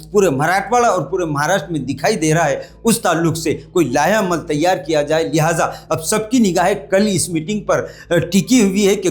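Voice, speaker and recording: A man from India presents a news report as anchor, formally addressing the viewers. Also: sits high.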